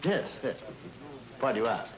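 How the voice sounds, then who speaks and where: An actor playing a composed, polite older American judge in an old radio drama, speaking in a measured, placating way. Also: male voice, mid-range voice, big pitch swings, crowd noise, close to an everyday voice. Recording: noisy old radio recording.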